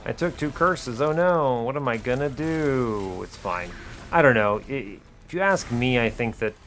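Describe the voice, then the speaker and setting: Low-voiced man talking through game strategy. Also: expressive, unhurried, a bit bright, slight background noise. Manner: self-questioning, talks through each decision. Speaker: gaming video host. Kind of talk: casual strategy game commentary, thinking aloud.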